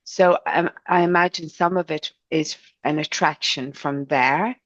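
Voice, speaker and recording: A middle-aged voice coach from Ireland with a mixed Irish and South African accent, talking in a gentle, chatty, expressive way. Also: female voice, low voice, a little slow, no room sound, dull audio. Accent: Irish and South African English mix. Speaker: voice and speech coach. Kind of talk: podcast interview answer.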